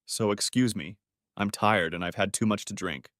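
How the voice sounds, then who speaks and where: A man's voice: fairly low pitch, moderate pace, a bit bright, dry recording, clean studio sound, lightly acted. Man acting out a fed-up, sarcastic husband. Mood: sarcastic, weary.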